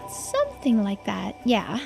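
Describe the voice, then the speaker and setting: A woman's voice: medium pitch, wildly swooping pitch, measured pace, creaky, a little mellow, faint music behind. A woman acting out video game dialogue as she reads it aloud on a playthrough. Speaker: streamer voicing a game playthrough.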